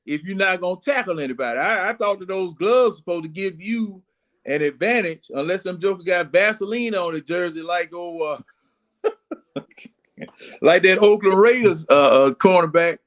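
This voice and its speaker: A man's voice: sits high, animated, normal speed. Older man in his sixties, folksy talker. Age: 60s. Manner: rambling, folksy storytelling. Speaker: sports talk panelist. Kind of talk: casual football fan chat.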